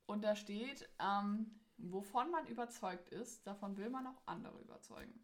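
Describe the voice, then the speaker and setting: A woman's voice: medium pitch, moderate pace. A woman chatting casually and informally as co-host, playing a question card game. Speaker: co-host of a faith podcast.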